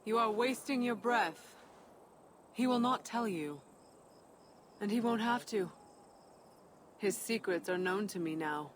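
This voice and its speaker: Game character, cold, scornful woman challenger, mid-pitched, faint ambient noise. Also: expressive, slow pace, pauses often. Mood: cold, commanding.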